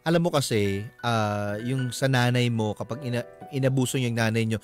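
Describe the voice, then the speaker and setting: A man's voice: mid-range voice, normal speed. A man hosting a radio advice show, explaining conversationally with personal examples and often checking for agreement. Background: music.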